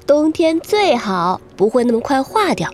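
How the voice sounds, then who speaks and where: A woman's voice: high-pitched, very animated, unhurried, a little hiss or hum. A woman playing a cheerful, sweet young woman in an audio drama, breezy and playfully teasing with a friend.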